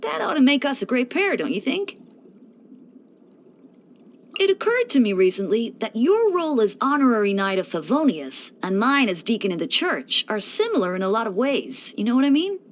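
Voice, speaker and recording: Woman voicing a lively, mischievous young male deacon. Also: medium pitch, moderate pace, slight background noise, natural voice. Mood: casual, self-assured. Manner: breezy, teasing, theatrical, with mock solemnity. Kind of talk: scripted video game character voice lines.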